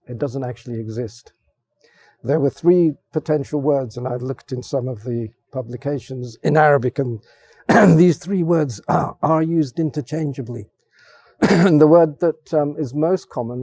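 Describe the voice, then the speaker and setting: A man's voice: mid-range voice, warm tone. A man on a discussion panel, explaining ideas thoughtfully with frequent restarts. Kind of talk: roundtable discussion. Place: theater stage panel.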